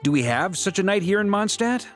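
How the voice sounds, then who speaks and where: A man playing the grand master of a knightly order in a video game, relaxed and teasing in his authority. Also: high-pitched, brisk, soft background music. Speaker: knight commander. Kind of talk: video game cutscene dialogue.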